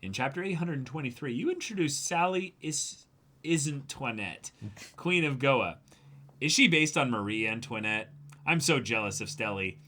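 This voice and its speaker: A man with a bright, forceful voice at a middle pitch. He co-hosts a manga reading stream, moving between casual chat and reading aloud. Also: expressive, normal speed.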